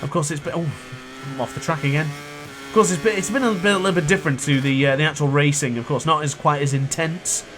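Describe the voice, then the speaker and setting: A young man with a cold-hoarsened voice, chatting and thinking aloud while he commentates his own racing game play. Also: a little high, fast, a bit bright, a little hiss or hum. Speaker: gaming youtuber. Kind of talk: live gameplay commentary.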